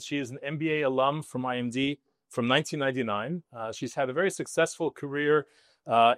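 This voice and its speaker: A man hosting a live discussion session in a halting, semi-prepared way. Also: medium pitch, dry recording, studio quality. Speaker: host, business school dean.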